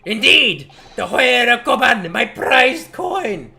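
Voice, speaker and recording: A man acts out a pompous old curio dealer from a video game, obsessed with his treasure. His flowery, archaic speech stretches out certain words and swings widely in pitch over faint music. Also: sing-song, takes it slowly, creaky, bright, forceful tone, full character voice. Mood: proud. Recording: music behind the voice.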